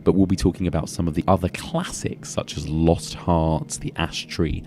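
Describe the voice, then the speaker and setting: Man, croaky and hoarse, very low pitch, dark mellow tone, eager podcast host. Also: steady tone. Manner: enthusiastic, quick affirmations. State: hoarse, losing his voice. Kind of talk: casual chat and scripted outro.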